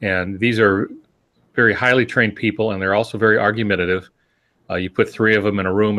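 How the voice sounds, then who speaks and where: A man's voice: low-pitched, fairly bright tone. An older man co-hosting a podcast, explaining casually in a wry, joking way. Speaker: podcast co-host and author.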